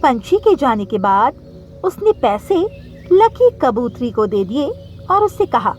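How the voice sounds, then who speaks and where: A woman's voice: a little high, big pitch swings, slow pace, a little mellow, slight background noise. A woman narrating a scripted cartoon story as the storyteller.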